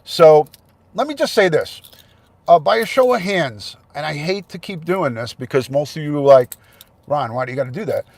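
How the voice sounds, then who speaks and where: A man's voice: on the high side, lively intonation, normal speed, no echo. A man hosting a casual livestream, congested with a head cold, chatting and thanking viewers by name. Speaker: livestream host.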